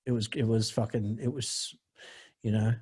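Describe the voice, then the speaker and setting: A man's voice: fairly low pitch, steady tone, normal speed, warm tone, breathy edge. A man as a podcast guest, telling a candid personal story in a profane, rambling way with many restarts.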